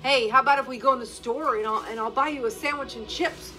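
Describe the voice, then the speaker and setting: A woman's voice: high-pitched, fast, sharp tone, soft background music, low-quality recording. Woman doing a friendly cop. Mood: coaxing. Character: friendly male police officer.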